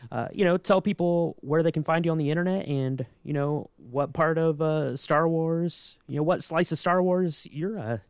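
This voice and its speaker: A man's voice: fairly high pitch, clean tone, dry recording. Man hosting a podcast, dry close sound. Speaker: podcast host. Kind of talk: casual hosting chat.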